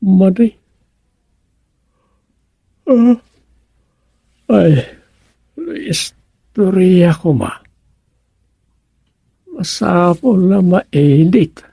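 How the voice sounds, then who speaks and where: A man playing a muddled comic storyteller in a radio comedy, halting and muttering with exclamations. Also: high-pitched, creaky, dark tone, breathy edge, no echo, heavily acted. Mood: flustered.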